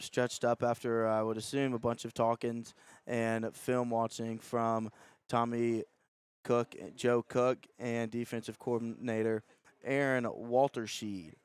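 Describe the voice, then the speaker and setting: Clear, even-pitched man calling sports. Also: no room sound. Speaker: play-by-play radio announcer. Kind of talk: live sports commentary.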